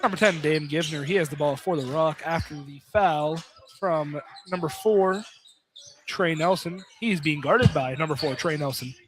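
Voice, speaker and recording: A man's voice: fairly high pitch, moderate pace. A man doing live play-by-play commentary of a basketball game from inside a field house. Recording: noisy gym with ball bounces.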